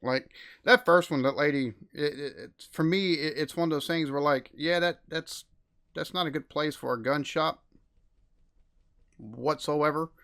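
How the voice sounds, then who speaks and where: A man's voice: a little high, measured pace, a bit bright. American man, reaction host, rambling and exasperated commentary. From United States. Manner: rambling, exasperated, lots of fillers. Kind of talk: casual commentary.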